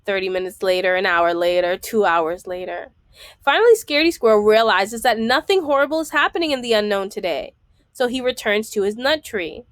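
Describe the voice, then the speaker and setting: A woman's voice: medium pitch, a little fast, fairly bright tone, no echo. A woman reading a children's book aloud, enunciating item by item and adding explanatory asides.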